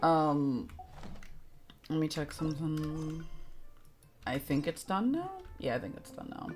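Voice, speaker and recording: A woman's voice: low voice, lively intonation, a little slow, a little mellow. A woman live-streaming a video game with casual, blunt, reactive commentary in informal words. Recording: game music behind the voice.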